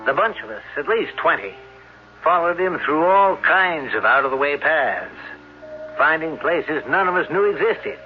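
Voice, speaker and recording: A man's voice: fairly high pitch, expressive, normal speed, close to an everyday voice. An actor playing an earnest, mild townsman who tells the tale in an old-time radio drama. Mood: earnest, recounting. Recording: muffled vintage radio, faint music.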